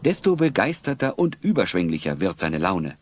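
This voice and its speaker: A man narrating a children's audio drama as a storyteller, wry and expressive. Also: sits low, moderate pace, background noise. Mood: suspenseful, wry.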